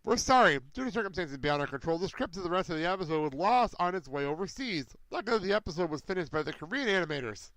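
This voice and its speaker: A man hosting a podcast, reacting in loud disbelief, exclaiming and laughing as he recaps a cartoon episode. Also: high voice, expressive, fast talker, hardly any pauses, no room sound, low-quality recording. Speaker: podcast host. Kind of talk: casual podcast chat.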